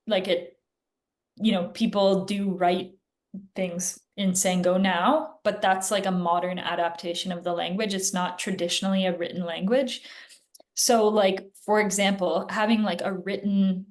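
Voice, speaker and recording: A woman physician answering interview questions in a casual, chatty way, often pausing with "like" and "um", heard over a video call. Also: medium pitch, level tone. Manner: chatty, filler-heavy, frequent "like" and "um". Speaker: guest physician.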